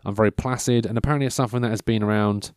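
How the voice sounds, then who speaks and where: Rambling man in his thirties, deepish voice. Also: steady tone, rapid-fire, a little mellow, clean tone, no echo, studio quality. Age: 30s. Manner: meandering, self-interrupting, loses his train of thought. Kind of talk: rambling casual monologue.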